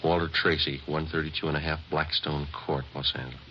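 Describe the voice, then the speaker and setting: A man's voice: extremely low pitch, steady tone, a little fast, a little hiss or hum. An American man playing a terse, matter-of-fact police detective sergeant who also narrates a 1950s radio drama. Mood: businesslike. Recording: muffled old radio recording with music.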